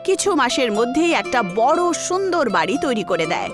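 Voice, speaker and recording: A woman narrates a cartoon story. Her voice is high and moves about in a lively way. Music plays clearly behind her. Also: fairly quick.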